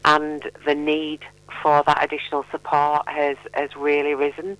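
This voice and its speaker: Smooth, clear-voiced middle-aged woman. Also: low voice, completely flat delivery, moderate pace, a bit bright, rough recording. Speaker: mental health charity representative, radio guest. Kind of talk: conversational radio interview.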